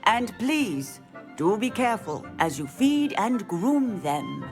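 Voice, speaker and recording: A woman's voice: medium pitch, very animated, slow, darkish tone, faint music behind. An actress playing a stern, careful female professor of magical beasts in a video game, formal and authoritative. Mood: instructive. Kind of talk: video game cutscene acting.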